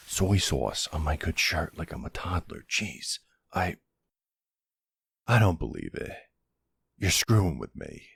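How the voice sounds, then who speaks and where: Mafia boss love interest, played by a man for ASMR roleplay: playful swagger, lively intonation, very close dry sound. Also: low voice, no echo, heavily acted. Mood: mock-offended, playful. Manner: playful, teasing, smooth-talking with tough-guy swagger. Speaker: voice actor in an audio roleplay. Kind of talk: intimate ASMR boyfriend roleplay acting.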